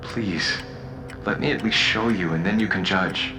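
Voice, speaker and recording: A man playing a cunning, taunting telepathic convict in an audio drama, with a deliberate, theatrical and mocking delivery. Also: sits low, over music. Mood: pleading, urgent. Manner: theatrical, deliberate, mocking, swinging between calm and outburst. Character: cunning, taunting telepathic male convict pleading his appeal.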